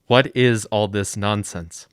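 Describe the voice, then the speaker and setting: An actor playing a composed, guarded mayor in a radio drama, measured and restrained as he questions. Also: on the low side, fairly even intonation, clear, resonant tone, dry recording, clean studio sound. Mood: guarded, uneasy. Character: composed, guarded male mayor hiding his past.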